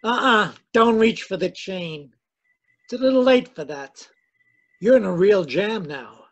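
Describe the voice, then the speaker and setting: Cold smug radio villain, high raspy voice, menacing. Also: male voice, animated, a little slow, fairly bright tone, dull audio, exaggerated voice. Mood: smug menace. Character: cold, calculating male murderer, smugly menacing. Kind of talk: 1940s radio crime drama acting.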